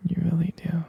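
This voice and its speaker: A man performing intimate boyfriend roleplay ASMR in a breathy, husky whisper, murmuring tenderly and adoringly with soft pauses. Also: on the high side, lo-fi sound.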